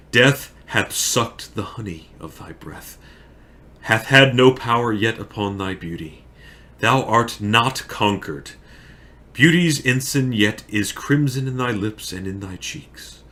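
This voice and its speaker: A man playing a grieving, lovesick young tragic hero in a theatrical, solemn Shakespearean monologue with drawn-out phrasing. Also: on the low side, sharp tone, pitched above the performer's own voice, full character voice.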